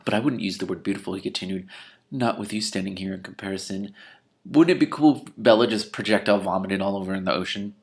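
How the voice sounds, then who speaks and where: Man, slightly low gravelly voice, book read-aloud, sarcastic commentary, incredulous and irreverent. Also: quick pace. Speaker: host reading a novel aloud with commentary. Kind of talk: reading aloud with mocking, casual asides.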